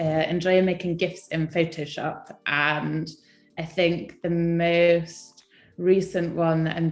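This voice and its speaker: A young woman from England talks casually as a guest on a live stream, pausing often with ums. Her voice sits low. The sound is roomy, with faint music under it. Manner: hesitant, many ums, soft laughs. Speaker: guest designer.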